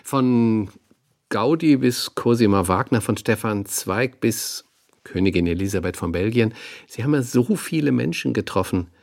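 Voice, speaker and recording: A courteous radio interviewer speaks in a fairly low voice. He is curious and probing, yet hesitates politely as he frames each question. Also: expressive, moderate pace, gravelly, darkish tone. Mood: probing, impressed.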